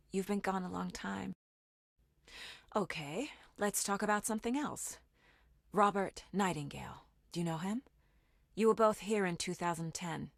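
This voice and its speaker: A woman's voice: mid-range voice, vocal fry, no echo. Determined female detective, close and dry. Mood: gently probing. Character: determined female FBI agent and mother, sharp, inquisitive investigator. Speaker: video game voice actor. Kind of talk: video game dialogue, investigative monologue.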